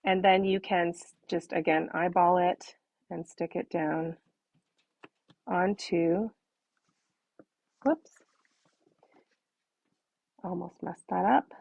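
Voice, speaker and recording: Slightly hoarse woman, lower-pitched, chatty. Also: slow pace, pauses often, a little mellow, dry recording. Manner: chatty, informal, self-deprecating asides. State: slightly hoarse, tired-sounding voice. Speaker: craft tutorial host. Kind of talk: casual live demonstration with step-by-step instruction.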